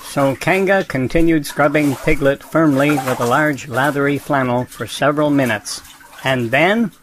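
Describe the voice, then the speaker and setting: A man's voice: on the high side, normal speed, a little hiss or hum, rough recording. A man narrating a children's storybook audio drama in a scripted reading style. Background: music.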